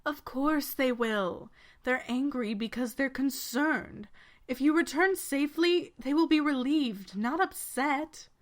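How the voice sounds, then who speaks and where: A woman's voice: high voice, takes it slowly. A woman playing a kind, devoted knight and older-sisterly protector, formal and reassuring, in a video game fandub.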